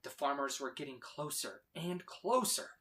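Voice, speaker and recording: A man reading a children's story aloud with expressive, dramatic emphasis. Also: a little high, moderate pace. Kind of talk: animated read-aloud narration for children.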